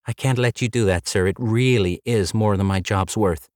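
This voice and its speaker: A man's voice: fairly low pitch, moderate pace, a little mellow, no echo, studio quality, pitched above the performer's own voice, lightly acted. A man voicing a polite young female office receptionist who is courteous but will not bend. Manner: chirpy, courteous, apologetic but unbending.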